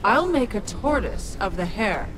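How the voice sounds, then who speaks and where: A woman's voice: medium pitch, very animated, a little slow. A woman voicing an imperious, mocking ancient soul-devouring witch in a video game. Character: haughty, menacing ancient witch who devours souls. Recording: loud music behind studio game voice.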